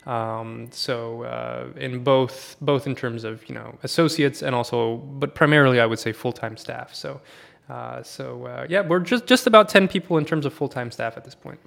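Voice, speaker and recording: A man raised in Sweden talks casually as a podcast guest, with a gravelly edge to his mid-pitched voice. He rambles, stops and restarts, and fills the gaps with plenty of "uh" and "you know". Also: moderate pace. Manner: relaxed, rambling with many fillers and restarts.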